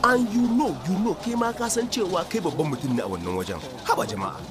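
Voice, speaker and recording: A scolding market trader. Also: male voice, high-pitched, animated, fast talker, loud music behind the voice. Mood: reproachful. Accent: Northern Nigerian. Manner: reproachful, questioning. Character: skeptical market trader man scolding his friend. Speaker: radio drama actor. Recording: busy market crowd behind. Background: market crowd chatter.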